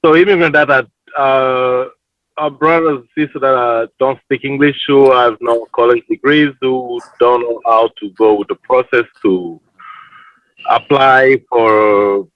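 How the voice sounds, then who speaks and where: A man's voice: mid-range voice, steady tone, measured pace, dry recording, muffled recording. A man moderating a forum. He puts long questions to the panel and halts and restarts his sentences as he goes.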